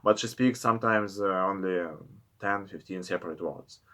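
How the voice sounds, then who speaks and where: A man with a Russian accent and Russian as his first language, talking to camera in careful, halting English full of pauses. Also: low voice, sharp tone. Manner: careful, halting non-native English with many filler pauses. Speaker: vlogger. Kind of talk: casual talk to camera.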